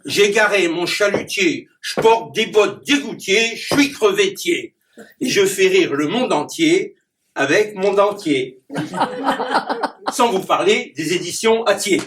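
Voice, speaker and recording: A man with a high voice tells a little story and then recites rhyming comic verse, clearly enjoying his own wordplay. He is picked up from a distance, with some background noise in the room. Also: big pitch swings, takes it slowly, a bit bright. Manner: playful wordplay, chuckles at his own puns. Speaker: clown and poet. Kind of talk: reciting comic rhyming poems to a small gathering.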